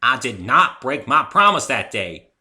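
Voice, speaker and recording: A man's voice: mid-range voice, very animated, measured pace, sharp tone, pitched above the performer's own voice, exaggerated voice. Man voicing a folksy Southern cartoon farm mare. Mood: annoyed. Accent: Southern US country. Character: hearty, stubborn young farm mare, cartoon pony.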